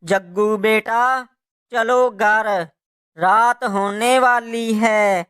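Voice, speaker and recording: A tired, ailing crow father from a cartoon fable , acted by a man. He speaks very slowly in a very clean, smooth voice. He sounds worried yet gentle with his family. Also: sits high, exaggerated voice. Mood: gently coaxing. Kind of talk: Hindi cartoon moral story dubbing.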